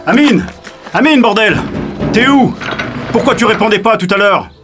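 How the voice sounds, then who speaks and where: An actor playing a frantic, protective brother, urgent and colloquial, in a French audio drama. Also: male voice, sits high, lively intonation, moderate pace. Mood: frantic, alarmed. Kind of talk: French fiction podcast acting. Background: music.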